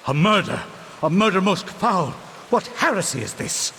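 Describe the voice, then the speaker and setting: A man's voice: sits high, very animated, normal speed, bright, forceful tone, full character voice. A man playing an accusing, imperious church inquisitor with theatrical, archaic commands in a video game cutscene. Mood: outraged. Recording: hissy, noisy rainstorm behind voice.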